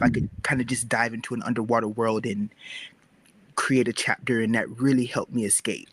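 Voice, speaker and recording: A man who makes webcomics talks casually and reflectively as a guest in a panel discussion. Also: medium pitch.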